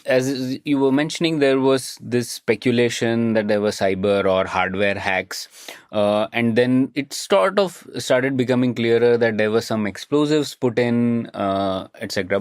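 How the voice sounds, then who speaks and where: Male interviewer, Indian accent, medium pitch, dry recording, exploratory conversational delivery. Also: animated, moderate pace, no room sound. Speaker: podcast host.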